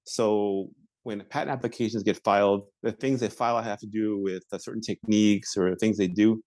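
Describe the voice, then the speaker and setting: A man in his forties answers interview questions about his work. His voice sits a little low and the recording is dry and close. He talks in a measured, thoughtful way and often pauses on fillers and restarts. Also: steady tone, a little mellow, no room sound. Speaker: patent examiner, interview guest.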